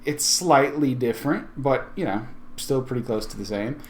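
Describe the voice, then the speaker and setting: A man giving an informal, conversational software walkthrough as a tech video host, thinking aloud as he goes. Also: medium pitch, moderate pace. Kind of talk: casual software tutorial walkthrough.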